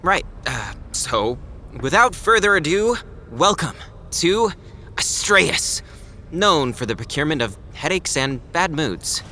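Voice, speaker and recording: A man plays a cheeky, teasing sidekick in an audio drama. His bright voice swings widely as he jokes and needles with playful sarcasm, over audible background noise. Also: on the high side, big pitch swings, slow pace, heavily acted. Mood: showy, sarcastic.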